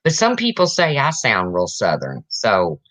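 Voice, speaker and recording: Raspy deep-voiced Midwestern woman. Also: wildly swooping pitch, measured pace, a bit bright, no echo. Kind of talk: casual chat.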